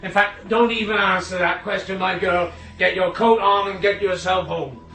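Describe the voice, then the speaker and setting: A roomy radio drama recording carries a middle-aged railway signalman, a stern, harried father. His voice sits fairly high for a man. He speaks brusquely, with worn patience, in a naturalistic way. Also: steady tone, moderate pace, sharp tone. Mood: exasperated, stern. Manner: brusque, exasperated.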